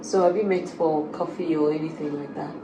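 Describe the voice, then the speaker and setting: Low-pitched woman interviewer, echoey room. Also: steady tone, fairly quick. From a very warm country. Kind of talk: casual conversational interview. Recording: echoey room with clear background noise.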